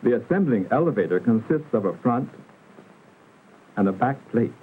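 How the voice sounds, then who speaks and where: A man narrating a 1960s educational film in a formal, instructional manner with careful, measured enunciation. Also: mid-range voice, lively intonation. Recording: muffled vintage film soundtrack, narrow band, hiss.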